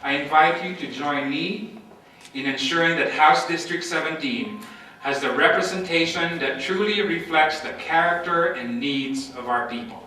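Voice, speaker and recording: A man's voice: on the high side, normal speed, bright, forceful tone, slight background noise. A man from the Philippines giving a formal, emphatic campaign speech as a candidate for office. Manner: formal, emphatic oratory with lists. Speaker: political candidate. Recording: echoey amateur recording from far off.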